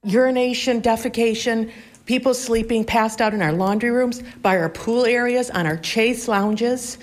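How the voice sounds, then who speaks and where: A woman's voice: on the high side, fairly even intonation, normal speed. A woman speaking as a resident giving a public comment.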